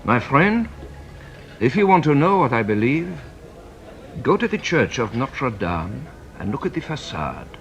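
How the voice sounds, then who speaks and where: An actor playing a shrewd French police inspector in a radio detective drama, speaking formally, with theatrical courtesy and dry authority. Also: male voice, mid-range voice, sing-song, unhurried, dull audio, a little hiss or hum. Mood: wry, knowing. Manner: theatrical, formal, drily polite.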